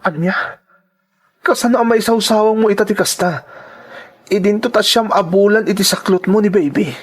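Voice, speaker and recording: Audio drama lead: wounded young father and dutiful son male performer, fairly high voice, sincere and plaintive. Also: normal speed. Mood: shocked, pleading. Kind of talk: Ilocano serial audio drama acting.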